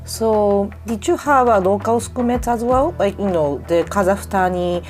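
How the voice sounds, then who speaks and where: A woman's voice: medium pitch, a little slow, darkish tone, soft background music. A woman hosting an interview, asking curious questions and reacting with short exclamations of surprise. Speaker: podcast host.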